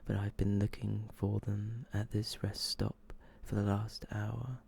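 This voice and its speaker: A soft-spoken man reading short horror stories as ASMR narration, often slipping toward a whisper. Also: lowish voice, little pitch movement, a little slow, creaky, slightly rough.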